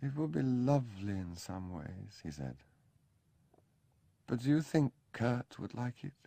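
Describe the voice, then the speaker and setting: A man reading an audiobook, voicing a frail young gentleman who speaks softly and languidly with gentle self-mockery. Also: mid-range voice, big pitch swings, a little slow, creaky, no echo, pitched above the performer's own voice, exaggerated voice. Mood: wistful.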